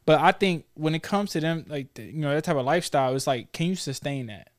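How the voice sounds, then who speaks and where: A man's voice: on the high side, animated, fast talker. A man in his thirties hosting a casual conversation, talking in an informal, slangy, probing way. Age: 30s. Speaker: talk show host.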